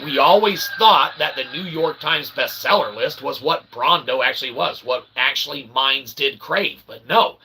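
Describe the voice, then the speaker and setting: Guy rambling to his vlog, really sharp bright voice, wordy fake-professor talk, some noise behind him. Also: fairly high pitch, normal speed, hardly any pauses, low-quality recording. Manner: pseudo-intellectual, wordy and convoluted phrasing, tags sentences with "okay" and "eh". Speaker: vlogger, self-published author. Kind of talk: rambling comedic monologue.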